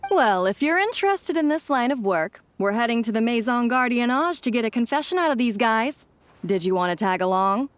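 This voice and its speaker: High, lively young policewoman played by a woman. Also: a little fast, a little hiss or hum, natural voice. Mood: inviting. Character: earnest, brisk young policewoman, dutiful and friendly. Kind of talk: dramatic game voice acting.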